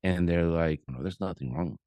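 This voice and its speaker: Deep-voiced man hosting, dry recording. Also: normal speed, warm tone, no echo. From born in Colombia, raised elsewhere. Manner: pointed, sardonic. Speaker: podcast host, pediatrician. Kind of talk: casual interview conversation.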